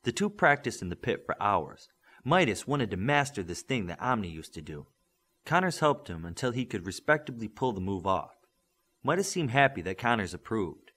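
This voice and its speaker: Male audiobook narrator with lively pitch. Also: normal speed. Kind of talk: scripted fiction narration.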